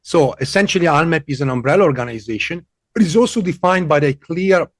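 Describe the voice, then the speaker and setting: Higher-voiced male podcast host. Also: animated, no room sound, clean studio sound. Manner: deliberate, dramatic pacing in the intro. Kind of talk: scripted intro then interview questions.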